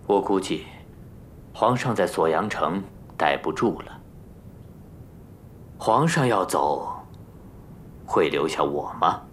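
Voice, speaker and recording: A man acting an old, cautious Taoist strategist and minister in a historical drama, speaking formally and deliberately. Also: low voice, lively intonation, a little hiss or hum. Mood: grave, musing. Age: older. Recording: loud music behind the voice.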